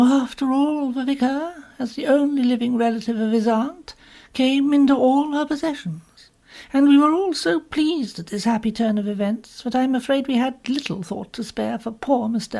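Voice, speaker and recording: A woman narrates an audiobook short story. Her delivery is formal and rather prim, with the measured phrasing of scripted storytelling. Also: a little high, vocal fry, warm tone.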